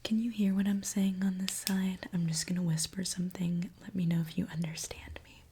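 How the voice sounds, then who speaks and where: A woman doing an ASMR roleplay as a gentle mechanic, speaking in a soft, reassuring, attentive way. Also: fairly low pitch, little pitch movement, a little fast, a little hiss or hum. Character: gentle mechanic repairing a robot client. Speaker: ASMR creator.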